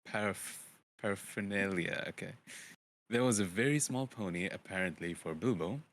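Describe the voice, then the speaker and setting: A man with a low voice reads a fantasy storybook aloud as an amateur narrator. His delivery is informal and dramatic, with the odd stumble over words. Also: moderate pace, fairly bright tone. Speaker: audiobook reader, streamer.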